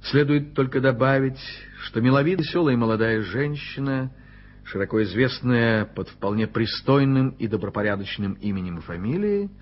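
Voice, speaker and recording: A man narrating a studio radio play in a measured, expressive storytelling way. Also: medium pitch. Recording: dull old radio play recording with music.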